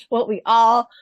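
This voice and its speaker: A woman's voice: a little high, no echo. A woman co-hosting a podcast, chatting casually in a gushing, giggly way. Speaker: podcast co-host. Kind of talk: casual chat about a fan fiction.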